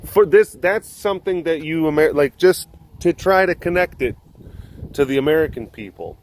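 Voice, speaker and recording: A man's voice: a little high, lively intonation, normal speed, slight background noise. A man from Wisconsin with a Wisconsin accent, chatting playfully and rambling in a casual vlog outdoors at an animal enclosure. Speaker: animal sanctuary vlogger.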